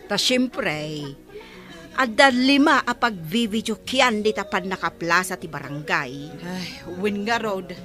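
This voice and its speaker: A woman's voice: mid-range voice, animated, unhurried, bright, forceful tone, faint music behind. A woman playing a stubborn village councilor in a comedy radio drama, arguing her point insistently. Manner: argumentative, insistent.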